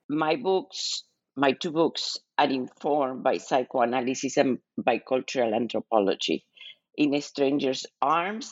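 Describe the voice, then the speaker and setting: An older woman with an Argentine Spanish accent, answering interview questions as a guest in a measured, reflective way. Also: very deep voice, no echo, lo-fi sound. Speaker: guest author, psychologist.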